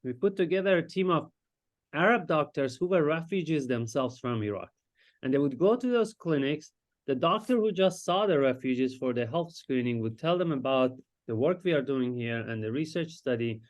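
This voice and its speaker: A man from Iran, a Farsi speaker, presenting his research as a psychiatrist in a lecture and pausing often to search for words. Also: medium pitch, expressive. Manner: explanatory, searches for words with frequent hesitations.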